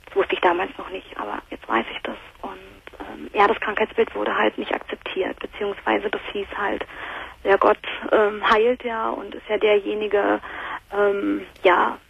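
A woman in her thirties from Berlin, calling in to a radio talk show and speaking softly and hesitantly, with many fillers. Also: medium pitch, steady tone, moderate pace, vocal fry, husky. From Berlin, Germany. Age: 30s. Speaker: caller. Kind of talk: phone-in conversation. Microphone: telephone. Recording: muffled phone line.

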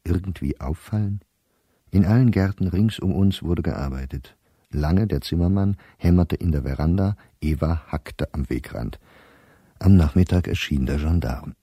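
Radio drama: weary, embittered professor, diarist. Dark, husky low voice, precise and terse delivery. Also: male voice, normal speed, no room sound, clean studio sound. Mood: troubled, dry. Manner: precise, clipped telegraphic diary phrasing. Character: weary, bitter middle-aged male professor reading his diary. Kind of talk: radio play diary monologue.

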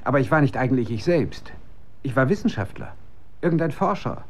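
Actor as driven male researcher, defensive, medium pitch, German radio drama delivery. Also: lively intonation, brisk, natural voice. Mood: bewildered, defensive.

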